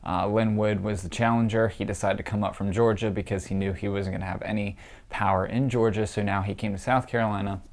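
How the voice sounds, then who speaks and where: A young man talks politics in a casual, scornful way, heavy on fillers. His allergies leave him stuffed up and nasal. His fairly low voice carries a gravelly fry. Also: fairly even intonation, fairly quick, darkish tone. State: congested, stuffy nose from allergies. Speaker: political commentator.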